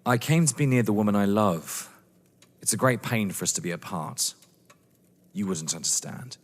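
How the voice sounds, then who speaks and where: An actor playing a young English lover, earnest, polite, proud and defensive, in a theatrical audio drama. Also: male voice, fairly low pitch, lively intonation, moderate pace. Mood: wounded, defensive. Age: young adult. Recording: echoey hall-like reverb.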